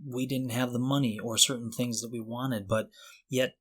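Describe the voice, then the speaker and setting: A man's voice: medium pitch, flat delivery, normal speed, a bit bright. Casual male podcaster, mid-range voice. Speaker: podcast co-host. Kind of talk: casual conversational chat.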